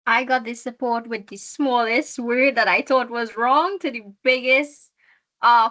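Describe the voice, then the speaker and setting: A woman's voice: fairly high pitch, pushed and bright. A young woman with a Trinidadian accent, speaking earnestly as a guest student in an online workshop interview, often restarting her phrases. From Trinidad and Tobago.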